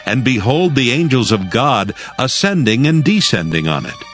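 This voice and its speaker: A man's voice: medium pitch, sing-song, moderate pace, a bit bright. A man narrating scripture formally as a storyteller from a script. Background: music.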